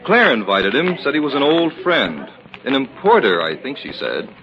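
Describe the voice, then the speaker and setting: An actor playing a sceptical, courteous estate lawyer in the manner of 1940s radio. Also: male voice, medium pitch, big pitch swings, normal speed, crowd noise. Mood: affable. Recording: dull, hissy old radio recording.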